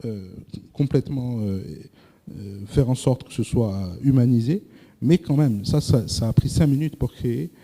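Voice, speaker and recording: A male presenter lecturing a live audience, hesitant, often repeating words and filling pauses, asking the room questions. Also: medium pitch, dark tone. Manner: addresses the audience directly with questions. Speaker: workshop presenter.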